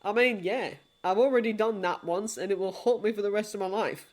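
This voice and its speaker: A woman's voice: mid-range voice, a little fast, darkish tone, lightly acted. Woman reading a blunt stubborn everyday man. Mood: earnest. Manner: casual, blunt, argumentative.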